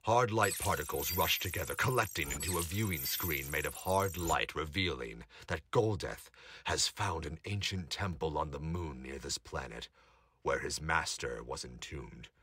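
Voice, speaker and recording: A man's voice: very deep voice, normal speed, bright, forceful tone, husky. A man narrating a scripted audio drama in a dramatic storytelling style. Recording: noisy home recording with clicks.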